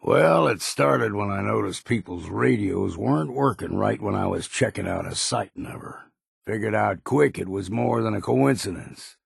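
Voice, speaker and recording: A gruff old hunter from a fishing harbor in a video game. His voice is deeply gravelly and husky, with lively swings in pitch. He talks in a folksy, blunt, stubborn way, recorded dry and close. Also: normal speed, dry recording, heavily acted. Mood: wistful, determined. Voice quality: gravelly, rasping. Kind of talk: video game character acting.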